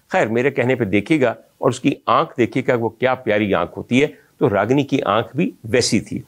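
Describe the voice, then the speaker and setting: A man from Pakistan, telling the history of old film songs in an ornate, reminiscing manner and speaking directly to his audience. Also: medium pitch. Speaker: film music historian host. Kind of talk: storytelling music history talk.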